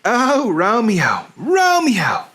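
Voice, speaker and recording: A lovelorn young noblewoman played by a man pleads in halting stage verse. The delivery is theatrical and earnest, slow in pace, with sweeping rises and falls in pitch. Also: wildly swooping pitch, pushed and bright, slightly rough, heavily acted. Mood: yearning.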